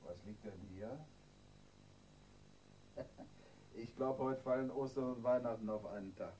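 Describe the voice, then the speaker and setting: A man's voice: medium pitch, unhurried, dark tone, lightly acted. Male actor as self-assured, sardonic detective for radio drama; mid-range voice, colloquial delivery, faintly noisy. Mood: wry, pleased. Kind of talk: German radio crime drama acting. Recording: radio play with faint noise.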